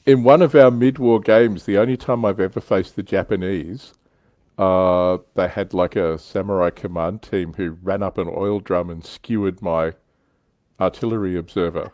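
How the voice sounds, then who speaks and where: A man's voice: low-pitched, fairly even intonation, moderate pace, darkish tone, no echo. A man hosting a casual panel discussion about tabletop wargaming. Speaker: livestream host. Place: indoors, online livestream.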